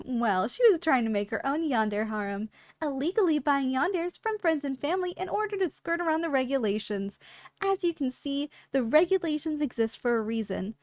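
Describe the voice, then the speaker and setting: Woman acting as high-voiced, polite female shop clerk. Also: animated, normal speed, dry recording. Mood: dry, matter-of-fact. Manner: formal, courteous salesperson patter with dry understatement. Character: polite, businesslike female shop clerk selling dangerous yandere girls. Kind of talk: scripted ASMR roleplay addressed to the listener.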